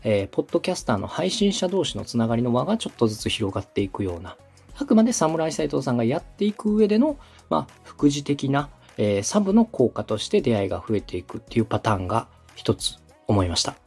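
A man from Osaka hosting a podcast consultation, explaining things politely and methodically with drawn-out hesitations. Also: mid-range voice, big pitch swings, creaky, darkish tone, slightly rough, soft background music. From Osaka, Japan. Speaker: podcast host, radio director coaching a client.

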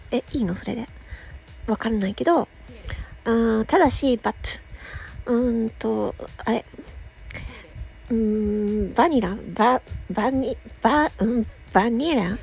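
A woman hosting a casual solo audio show, chatting playfully with self-mocking asides and a husky, slightly creaky voice. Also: a little high, darkish tone, poor audio.

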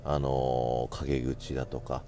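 A man's voice: extremely low pitch, flat delivery, slow pace, dark tone, soft background music. A man hosting a solo radio-style podcast, talking casually and politely to his listeners in a chatty way.

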